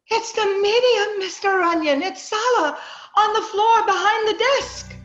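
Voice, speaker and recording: A woman playing an excitable, credulous widow with melodramatic delivery in an old-time radio drama, on muffled call audio. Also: very high-pitched, slow, full character voice. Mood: startled, excited. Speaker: amateur radio drama player.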